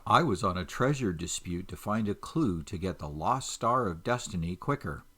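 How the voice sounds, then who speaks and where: A man playing a calm, reassuring adventurer father in an audio drama, long separated from his son. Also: low-pitched, moderate pace. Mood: explaining calmly.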